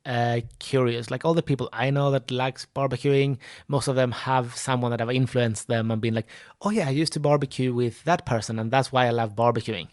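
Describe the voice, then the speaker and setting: A man's voice: mid-range voice, steady tone, brisk, hardly any pauses, no room sound, studio quality. A young man co-hosting a podcast in casual chat, friendly and asking questions. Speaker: podcast co-host.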